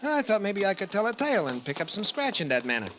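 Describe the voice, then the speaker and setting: A man's voice: high voice, sing-song, fast, fairly bright tone. An actor playing a brash young down-on-his-luck racetrack tout, cheeky and casual, in old-time radio drama style. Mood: gloomy. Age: young adult. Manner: cheeky, casual, persistent hustler's patter. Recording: vintage radio broadcast recording.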